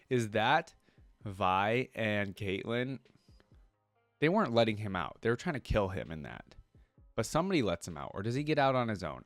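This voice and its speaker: A man's voice: on the low side, dry recording. A man reading a story aloud and commenting casually as a reaction video host, often thinking aloud.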